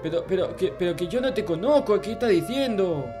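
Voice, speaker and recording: A man's voice: sits high, animated, a little fast, warm tone, faint music behind. A man voicing a childish, clueless, grumpy little game character, stammering and repeating himself in a Minecraft roleplay. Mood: naive. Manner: babyish, stammering, repetitive, easily flustered. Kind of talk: improvised Minecraft roleplay narration.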